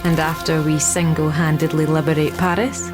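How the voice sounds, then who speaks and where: An actor playing a cool-headed sniper officer with dry, laconic remarks. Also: male voice, a little high, level tone, normal speed, natural voice. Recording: music behind.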